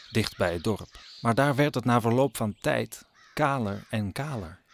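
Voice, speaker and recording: A man narrating a scripted audio drama as a storyteller, solemn and deliberate. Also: on the low side, a little hiss or hum.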